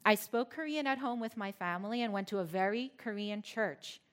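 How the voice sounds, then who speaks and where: A woman from Philadelphia speaks to a live audience. Her pitch sits in an ordinary middle range, and she tells her story with playful asides to the people listening. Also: normal speed. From Philadelphia, United States. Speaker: speaker giving a talk. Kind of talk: personal talk to a live audience.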